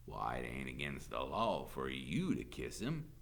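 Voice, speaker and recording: A man voicing a lecherous, drunken small-town sheriff in an audiobook, with a slow drawl. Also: extremely low pitch, huge pitch swings, creaky, pitched below the performer's own voice, exaggerated voice. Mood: smug, menacing.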